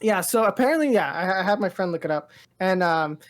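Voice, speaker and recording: A woman co-hosting a pop-culture podcast. She talks in a loose, gushing ramble full of filler words, like an excited fan chatting with a friend. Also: fairly low pitch, a little fast. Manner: rambling, heavy use of "like" and "dude"; enthusiastic, gushing. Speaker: podcast co-host. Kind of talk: casual pop-culture chat.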